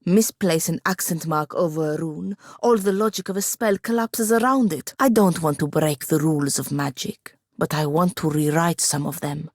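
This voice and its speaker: A young sorceress's apprentice from a fantasy video game, speaks low and with a gravelly edge. She is ambitious and sharp-tongued, choosing her words with dry, haughty precision. The recording is close and very dry. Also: moderate pace, hardly any pauses, no echo. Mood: earnest, wry. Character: sardonic, ambitious young female wizard apprentice. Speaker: video game companion character. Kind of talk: scripted fantasy game dialogue.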